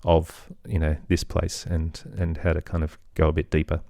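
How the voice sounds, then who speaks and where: A man's voice: very deep voice, completely flat delivery, moderate pace, gravelly, dark tone, no echo, studio quality. A middle-aged man giving reflective, loosely phrased answers as a guest in an interview. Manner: reflective, loosely phrased, hedging with "sort of" and "kind of". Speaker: podcast guest, musician and storyteller.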